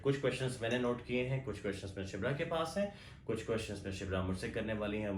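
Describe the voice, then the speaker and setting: A man with a Pakistani accent hosting a language exam Q&A, explaining in a measured, step-by-step way. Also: fairly low pitch, roomy sound, a little hiss or hum. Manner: mixes Urdu and English, measured explanatory delivery. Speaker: host. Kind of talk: bilingual Q&A on language exams.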